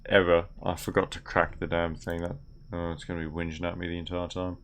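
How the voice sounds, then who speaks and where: A young man with a gravelly voice, commenting on a game as he plays and mutters through on-screen text. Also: low voice, level tone, fairly quick, noisy background. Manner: mutters aloud while reading on-screen text. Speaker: gaming video creator. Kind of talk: game commentary while playing. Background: keyboard typing and clicks.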